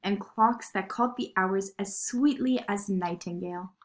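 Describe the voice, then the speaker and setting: A woman narrating a children's story in an expressive read-aloud style. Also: medium pitch, unhurried.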